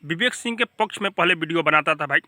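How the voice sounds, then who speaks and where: A man's voice: on the high side, lively intonation, quick pace, sharp tone, dry recording. A man with a Bhojpuri accent giving informal, emphatic gossip news commentary as a channel host, often addressing viewers like brothers.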